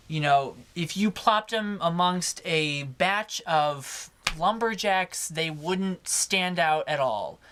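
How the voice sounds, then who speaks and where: A young man speaks in a naturally high voice for a male, chatting as a vlogger would. His delivery is casual and personal. He is expressive and leans on certain words, stretching them out for emphasis. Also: slight background noise. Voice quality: higher-pitched voice for a man. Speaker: video blogger. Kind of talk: casual personal vlog talk to camera.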